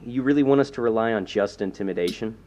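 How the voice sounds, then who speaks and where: A man's voice: on the low side, fast talker, a little mellow, lightly acted. A man playing a cocky, reckless supervillain in an audio drama, with a breezy, teasing delivery.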